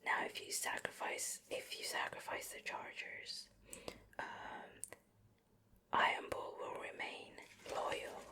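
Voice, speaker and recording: A woman giving an unhurried, chatty ASMR show and tell in a soft breathy whisper, close to the mic from ear to ear. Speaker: ASMR video creator and host. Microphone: close ear-to-ear stereo microphone.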